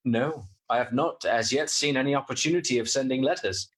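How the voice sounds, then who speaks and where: An actor playing a polite, earnest young gentleman in a radio play, speaking precisely and formally. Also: mid-range voice, brisk, lightly acted. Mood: startled, hesitant. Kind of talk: radio play acting with diary narration.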